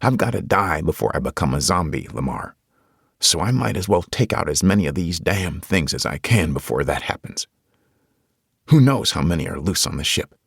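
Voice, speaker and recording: A man voicing a gruff, wounded tough guy. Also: deep voice, lively intonation, moderate pace, dry recording, clean studio sound, heavily acted. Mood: grim. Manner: gruff, blunt, drawling.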